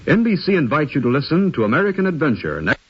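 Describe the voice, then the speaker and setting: Husky male 1950s radio announcer. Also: fairly high pitch, big pitch swings, quick pace. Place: radio studio. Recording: dull 1950s radio recording.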